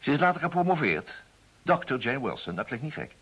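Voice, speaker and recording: An actor playing a brisk, charming private detective in an old radio play. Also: male voice, mid-range voice, very animated, a bit bright, breathy edge, full character voice. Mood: keen, charming. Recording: dull, muffled old radio recording.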